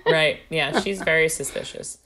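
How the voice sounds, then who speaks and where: Brief: young female co-host, lower pitch, jokey and giggly in chat. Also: moderate pace, background noise. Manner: playful, laughs often, jokes about her notes. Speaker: podcast co-host. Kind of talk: casual chat reading research notes.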